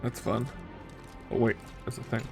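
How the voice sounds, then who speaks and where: A man's voice: medium pitch, flat delivery, normal speed, vocal fry, a little mellow, faint music behind. A man streaming a video game with casual commentary, musing and thinking aloud as he plays.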